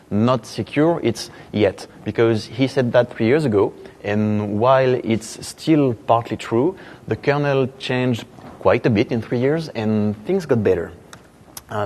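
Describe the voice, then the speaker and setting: A man's voice: on the low side, darkish tone, a little hiss or hum. A man with a thick French accent giving a conversational technical conference talk, with many fillers and dry self-deprecating jokes. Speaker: conference speaker. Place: conference hall.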